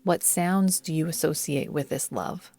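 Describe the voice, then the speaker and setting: A woman guiding a yoga nidra meditation in a soothing, measured manner, leaving long pauses between phrases. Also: low voice, dark tone. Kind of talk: guided meditation narration. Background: background music.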